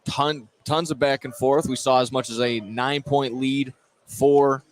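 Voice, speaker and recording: A man's voice: medium pitch, steady tone, unhurried. An American man doing live play-by-play commentary of a basketball game. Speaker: play-by-play announcer. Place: indoor basketball gym, broadcast commentary. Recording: noisy live gym ambience.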